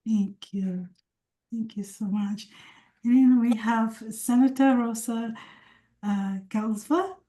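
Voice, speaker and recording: A woman moderating a webinar over a video call, introducing each speaker gently and with hesitant fillers. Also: a little high, steady tone, takes it slowly, rough recording.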